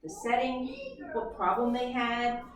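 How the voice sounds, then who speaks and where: A woman teaching young children through an interactive read-aloud, expressive as she tells the story, often stopping to ask questions and redirect her listeners. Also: a little high, a little slow. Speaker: primary school teacher. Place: echoey classroom. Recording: very echoey room, distant mic, background noise.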